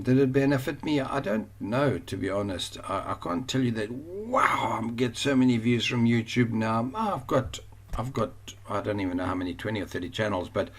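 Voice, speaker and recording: A man's voice: on the low side, husky. A man with a South African accent teaching an online course over a screen share, rambling and often correcting himself. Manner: rambling, self-correcting, chatty.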